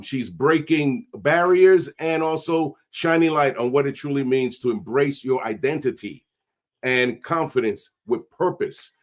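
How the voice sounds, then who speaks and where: A man, hosting a podcast with energetic, streetwise talk sprinkled with Spanish. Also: medium pitch. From New York. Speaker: podcast host. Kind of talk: casual interview chat.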